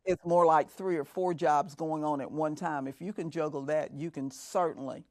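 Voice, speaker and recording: Middle-aged lady mentoring, slow steady talk, repeats herself for emphasis, dry close sound. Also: sits low, dry recording. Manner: measured, repeats phrases for emphasis. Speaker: mentor and podcast guest. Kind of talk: reflective interview talk.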